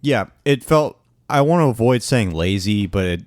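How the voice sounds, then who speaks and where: A man's voice: on the low side, expressive, moderate pace, darkish tone, dry recording. A young man co-hosting a music podcast, giving hedged opinions with frequent restarts. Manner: hesitant, restarts, hedging opinions. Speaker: podcast co-host. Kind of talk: casual music chat.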